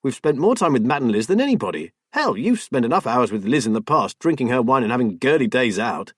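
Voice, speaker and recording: A man's voice: expressive, moderate pace, husky, dry recording, clean studio sound. A man voicing a patient husband, hurt but firm and coaxing toward his wife. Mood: indignant. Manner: firm, coaxing, low-key.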